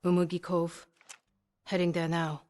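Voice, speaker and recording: A woman's voice: on the low side, flat delivery, a little slow, a little mellow, natural voice. An actress playing a proud, cool renegade woman archer in a video game, with a dry, clipped, self-assured delivery. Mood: defiant. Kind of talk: dramatic video game acting.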